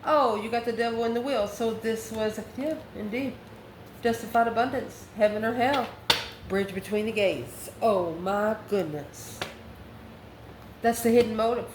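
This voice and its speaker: Woman reading tarot in an echoey, noisy room. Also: a little high. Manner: mystical, addresses the listener directly and affectionately. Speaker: tarot reader, host. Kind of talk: casual spoken tarot card reading to camera.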